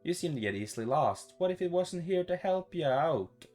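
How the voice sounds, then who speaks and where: A man's voice: a little high, expressive, moderate pace, a little mellow, voice pushed up, natural voice. A flirtatious male loner from a visual novel, acted out by a man, talks in a casual, teasing way, smug but a little bashful. Guitar music plays behind him. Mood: smug, flirty. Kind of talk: visual novel dialogue read-aloud.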